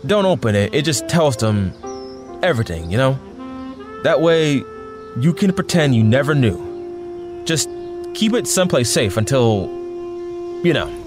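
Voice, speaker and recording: A man's voice: medium pitch, very animated, unhurried, pauses often. A young man playing an earnest, troubled secret superhero in an audio drama, with a hesitant, pleading delivery. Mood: earnest, weary. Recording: music behind the voice. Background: music.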